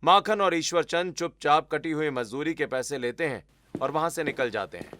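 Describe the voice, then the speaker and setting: A man's voice: fairly high pitch, expressive, normal speed, fairly bright tone, slight background noise. A man narrating a scripted story as its storyteller.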